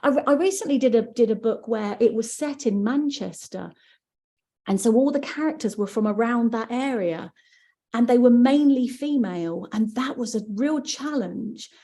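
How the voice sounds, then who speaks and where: Mellow-voiced woman narrator chatting. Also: fairly high pitch, normal speed. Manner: chatty, many restarts and fillers. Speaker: audiobook narrator. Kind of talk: casual podcast interview.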